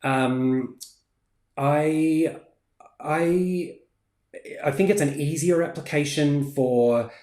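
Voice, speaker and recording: A man's voice: on the high side, very slow, pauses often, darkish tone. A man in his forties with a New Zealand accent, hosting a casual craft livestream and chatting through viewer comments with plenty of ums. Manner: chatty, many ums, laughs easily. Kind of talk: casual live chat reading comments. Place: home craft room, indoors. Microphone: fixed camera at desk distance. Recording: echoey room.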